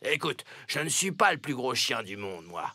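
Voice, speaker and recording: A sensible, no-nonsense farm dog for a children's cartoon fable. The voice is gravelly and very sharp, recorded dry, speaking in a gruff, matter-of-fact way. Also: male voice, mid-range voice, very animated, normal speed, no echo, heavily acted. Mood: firm reassurance. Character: blunt, sensible, contented farm dog, male friend.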